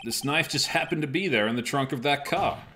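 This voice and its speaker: A man playing an earnest, excitable defense attorney, arguing emphatically in a fan dub of a courtroom game. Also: on the high side, fairly quick, slight background noise. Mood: assertive. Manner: emphatic, argumentative.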